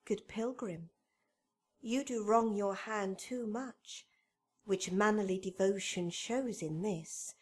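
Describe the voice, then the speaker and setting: An actress playing a young lovestruck noblewoman in a Shakespearean radio drama, speaking measured verse. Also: mid-range voice, darkish tone, husky. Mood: tender, coy. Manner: slow, measured verse delivery.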